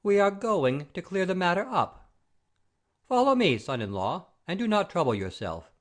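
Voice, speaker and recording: A man's voice: high voice, sing-song, normal speed. An actor playing a proud, blustering baron and father-in-law in a theatrical comedy audio play, pompous and declamatory. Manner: pompous, oath-swearing, declamatory.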